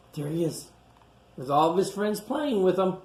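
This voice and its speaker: A woman with a low voice leads a children's storytime. She talks in simple, repeated phrases and puts gentle, encouraging questions to her young listeners, as someone reading a picture book aloud does. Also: big pitch swings, unhurried.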